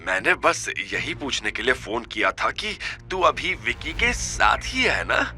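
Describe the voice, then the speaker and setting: A man voicing a scheming, sharp-tongued older woman with a scolding, self-righteous manner in an audio drama. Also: medium pitch, huge pitch swings, measured pace, faint music behind, pitched above the performer's own voice, full character voice. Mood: probing.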